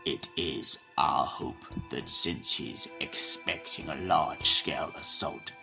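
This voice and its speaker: An actor playing a stern, authoritative male president at war in an audio drama, speaking formally and gravely. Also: rumbling low, takes it slowly, over music, heavily acted. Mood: grave briefing.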